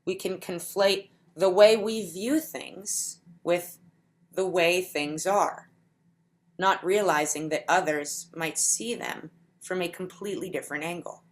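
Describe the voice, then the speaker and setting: A woman giving a conference talk in a deliberate, explanatory way, slowing down to stretch key words. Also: lowish voice. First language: English. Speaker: conference speaker. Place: home setup for a virtual conference.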